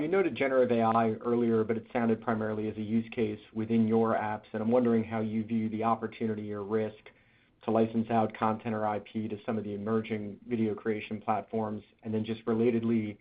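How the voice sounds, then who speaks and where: A man calling in as a financial analyst, asking a prepared question on an earnings call. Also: lowish voice. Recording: narrow muffled phone line.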